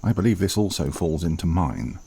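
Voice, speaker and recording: Man acting a deep, husky, formal old butler. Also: expressive, warm tone, full character voice. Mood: gently insistent. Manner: formal, measured, politely dry. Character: dutiful older butler, formal, dryly disapproving, fatherly. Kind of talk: audio drama acting.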